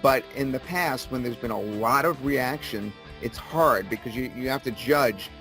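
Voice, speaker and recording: A man of ordinary pitch talks as a guest in an interview, selling his product with steady persuasion. He circles back to the key details to drive them home. Faint music plays behind him. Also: moderate pace.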